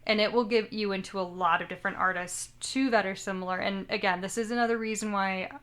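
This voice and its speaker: A woman's voice: mid-range voice, fairly even intonation, fast talker, fairly bright tone. A young woman co-hosting a casual anime podcast, chatty and rambling, often second-guessing how she pronounces names. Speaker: podcast co-host.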